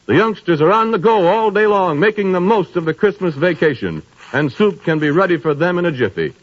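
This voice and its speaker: High-voiced 1930s radio announcer. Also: male voice, animated, fairly quick. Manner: smooth, polished sponsor pitch. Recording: dull old radio broadcast with faint music.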